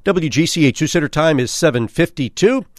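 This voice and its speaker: A male radio host delivers news-station announcing and interview questions. His pitch is a touch high and moves in a lively, animated way. The sound is dry, with no audible room. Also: fairly quick, dry recording.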